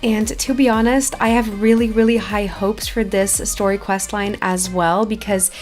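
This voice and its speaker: A woman's voice: medium pitch, level tone, normal speed. A woman hosting a gameplay video in a chatty, enthusiastic way, giving upbeat commentary. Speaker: YouTube gaming host. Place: indoor voice-over over game footage. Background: background music.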